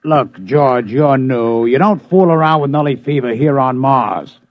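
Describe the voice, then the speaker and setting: Jaded American newsroom boss, acted by a man, medium pitch, sardonic, dry close sound on dull old radio. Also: animated, unhurried, no room sound, natural voice. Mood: cautious, warning. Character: sour, jaded male news bureau chief on Mars. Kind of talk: 1950s radio drama acting.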